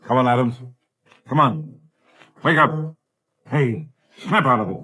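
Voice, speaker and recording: A slangy, excitable crook henchman. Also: mid-range voice, unhurried, breathy edge, full character voice. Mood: cocky. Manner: slangy tough-guy talk, excitable. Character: rough, cocky crook henchman who panics easily. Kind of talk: 1930s radio acting.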